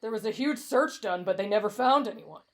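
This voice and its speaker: A woman's voice: medium pitch, animated, a little fast, voice pushed down, close to an everyday voice. A woman voicing a brash, cocky young man with a morbid streak and a blunt, boastful manner in an anime fan audio roleplay.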